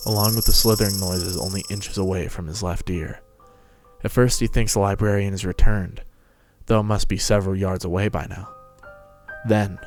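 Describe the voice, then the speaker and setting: Low-voiced male story narrator over music. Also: a little mellow. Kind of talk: dramatic scripted story narration. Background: music and sound effects.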